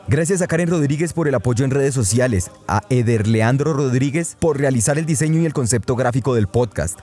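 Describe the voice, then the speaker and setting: A man's voice: mid-range voice, mellow. A man with a Colombian accent reading scripted credits and thanks as a podcast announcer, in an orderly way.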